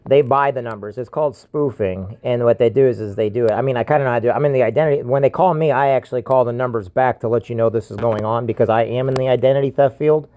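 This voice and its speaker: American man, medium pitch, casual warning talk, insistent, plain-spoken, repetitive. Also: fairly even intonation, fast, few pauses, mellow, highs cut off. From United States. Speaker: identity theft protection seller hosting a scam-awareness video.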